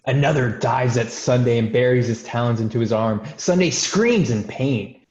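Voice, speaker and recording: A man's voice: mid-range voice, moderate pace, darkish tone, echoey room, lo-fi sound. A man reading screenplay stage directions as scripted narration.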